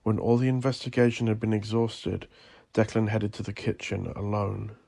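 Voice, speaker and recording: A man with a low, creaky voice reads scripted dark fiction. His delivery is deliberate and literary, staying within a narrow pitch range. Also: flat delivery. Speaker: narrator.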